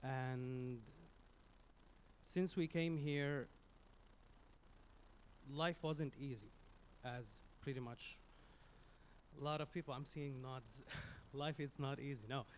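A man from Syria with a Syrian Arabic accent, Arabic his first language, giving an earnest, halting public speech into a stage microphone as a community speaker. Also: medium pitch, steady tone, slight background noise. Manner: earnest, halting, with many restarts.

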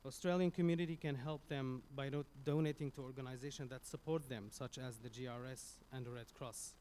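A man from Syria with a Syrian Arabic accent, Arabic his first language, giving an earnest, halting public speech into a stage microphone as a community speaker. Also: medium pitch, steady tone, mellow, slight background noise. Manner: earnest, halting, with many restarts.

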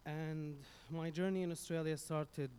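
A man from Syria with a Syrian Arabic accent, Arabic his first language, giving an earnest, halting public speech into a stage microphone as a community speaker. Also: fairly high pitch, little pitch movement, darkish tone, clear, resonant tone. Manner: earnest, halting, with many restarts.

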